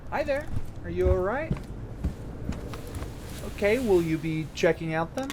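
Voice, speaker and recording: A woman's voice: on the low side, animated, measured pace, noisy background. A woman playing a polite, businesslike motel desk clerk in an audio drama, a little hesitant. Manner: polite, hesitant customer-service patter.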